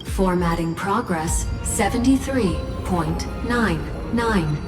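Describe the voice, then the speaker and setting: A woman voicing an emotionless machine system in a video game, reciting status reports in a measured, clipped, impassive way. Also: on the low side, music in the background. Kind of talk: video game computer announcement.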